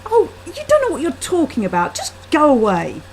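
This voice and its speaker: A woman's voice: high voice, huge pitch swings, normal speed, a little mellow, pitched above the performer's own voice. A woman voicing an irritable, disdainful young female graduate in a short story. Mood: snappish. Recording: faintly noisy laptop webcam microphone.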